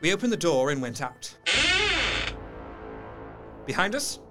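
A man's voice: a little high, unhurried, over loud music. A man playing a proper, troubled Victorian doctor, narrating his own horror story formally and with feeling in an audio drama. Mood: sober. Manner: formal, expressive. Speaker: narrator in character.

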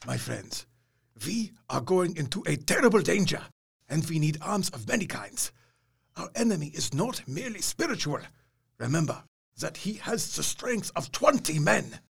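An actor playing a grave, commanding older professor who leads vampire hunters in an audio drama, speaking solemnly in deliberate, formal phrases with a husky, gravelly voice. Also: male voice, on the high side, very animated, fairly bright tone, exaggerated voice. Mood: solemn, urgent.

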